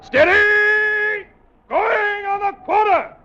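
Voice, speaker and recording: An actor playing a loyal, uneasy ship's mate in a 1940s radio sea drama, telling and living a grim tale. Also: male voice, very high voice, steady tone, bright, forceful tone, a little hiss or hum, full character voice. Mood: shouting orders. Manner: measured, literary storytelling, urgent in dialogue. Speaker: narrator and actor. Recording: muffled old-time radio recording, music behind.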